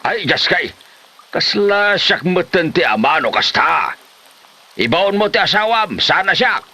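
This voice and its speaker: A man's voice: high voice, sing-song, measured pace, sharp tone, exaggerated voice. A man playing an exasperated older village father figure who scolds and exclaims in a comedy drama.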